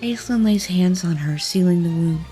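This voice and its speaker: A woman with a very low-pitched voice reads scripted fantasy narration. She tells the story as its narrator, with faint music underneath. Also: moderate pace, warm tone.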